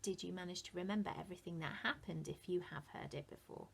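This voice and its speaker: A woman's voice: on the low side, fast, gravelly, husky. A woman reading a picture book to young children as a teacher, in an encouraging, sing-song way with questions to the listeners. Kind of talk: interactive children's storytime.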